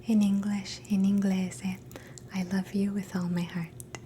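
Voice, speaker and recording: A woman in a soft, gentle, mostly unvoiced whisper, slowly teaching phrases in a relaxing language lesson and repeating each one. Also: medium pitch, flat delivery, a little hiss or hum. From Canada. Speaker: ASMR host teaching phrases.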